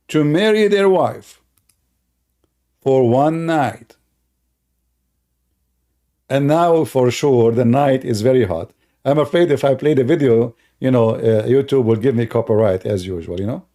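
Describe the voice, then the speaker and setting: Mellow-voiced man telling a mocking story. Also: medium pitch, slow pace, pauses often. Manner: mocking, repeats phrases for emphasis. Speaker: online commentator and host. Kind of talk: informal mocking storytelling commentary to viewers.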